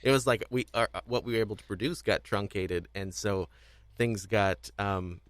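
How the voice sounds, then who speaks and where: A young man hosting a casual podcast discussion, speaking in a halting way and often repeating words as he goes. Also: on the low side, fairly even intonation, smooth, dry recording. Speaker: podcast host.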